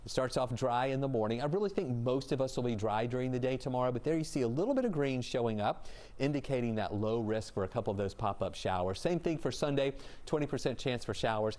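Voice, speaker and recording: Male TV meteorologist, quick delivery, mid pitch, chatty forecast read. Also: lively intonation, darkish tone. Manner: brisk, conversational forecasting. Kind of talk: live weather forecast.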